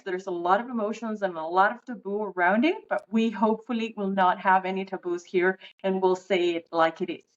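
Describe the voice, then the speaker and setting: A woman in her thirties, from Venezuela, co-hosts a podcast in a relaxed, explanatory way. Her voice sits at a middle pitch. The recording sounds a little dull and lo-fi. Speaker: podcast co-host. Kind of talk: casual podcast chat.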